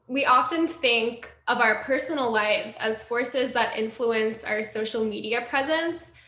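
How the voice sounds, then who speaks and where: A woman with a rather high, bright voice moderates a poetry panel and reads out audience questions. The sound is echoey, as in a hard-walled room. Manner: reads questions aloud in a clear, even way. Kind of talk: moderating an online reading.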